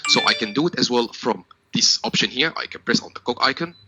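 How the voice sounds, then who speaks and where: A man's voice: mid-range voice, expressive, fast talker, sharp tone, slightly rough, no room sound, soft background music, low-quality recording. A man working as a technical trainer, giving a step-by-step product tutorial in a methodical, instructional manner.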